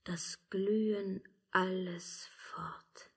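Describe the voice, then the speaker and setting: An actress playing a seductive, taunting witch, very breathy and theatrical, speaking verse in a 1950s radio drama style. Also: mid-range voice, steady tone, exaggerated voice. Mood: ominous, intense. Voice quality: very breathy, often half-whispered. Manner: slow, incantatory, theatrical. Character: seductive, taunting witch, sensual lover of an emperor.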